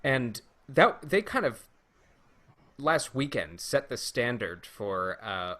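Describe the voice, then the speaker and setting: A man's voice: mid-range voice, very animated, takes it slowly, pushed and bright. A man co-hosting a podcast, talking casually in an informal group discussion. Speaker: podcast host.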